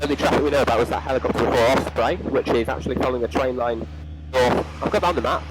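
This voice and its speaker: A man's voice: mid-range voice, steady tone, rapid-fire, very noisy. A young adult man giving a running commentary as a student pilot, talking through his actions step by step. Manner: narrating own actions step by step. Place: inside small light aircraft cockpit in flight.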